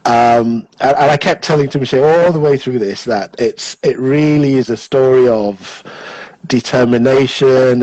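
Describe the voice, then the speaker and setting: A man hosting a casual livestream interview, relaxed and chatty with plenty of fillers. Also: medium pitch, no room sound, poor audio. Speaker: host, interviewer. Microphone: video call or phone mic.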